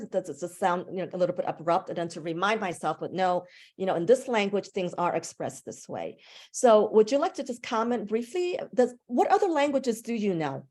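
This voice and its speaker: A woman language instructor, a native English speaker, leading a conversational online class discussion over a video call, thoughtful and a little halting. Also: mid-range voice, a little mellow. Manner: conversational, thoughtful, with a few restarts.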